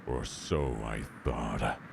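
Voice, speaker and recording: A man's voice: very deep voice, vocal fry, noisy background, exaggerated voice. An actor playing a sorrowful abandoned father in a video game, solemnly recalling his lost love. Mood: wistful. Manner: slow, solemn storytelling. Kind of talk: dramatic game character narration. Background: game music.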